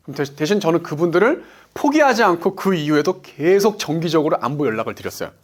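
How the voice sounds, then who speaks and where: A man's voice: fairly high pitch, very animated, quick pace. A man in his forties, an insurance sales director, giving a motivational business talk, stressing points and asking himself "why?" before he answers. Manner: emphatic, asks himself "why?" then answers.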